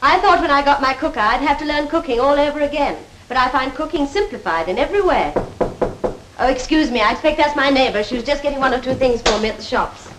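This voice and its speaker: Woman acting a very high-pitched 1930s British housewife. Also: lively intonation, fast, fairly bright tone. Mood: chatty, polite. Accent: 1930s British Received Pronunciation. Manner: polite, chatty, genteel. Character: eager, agreeable young housewife and mother. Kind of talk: scripted 1930s instructional film acting. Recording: noisy, worn 1930s film soundtrack.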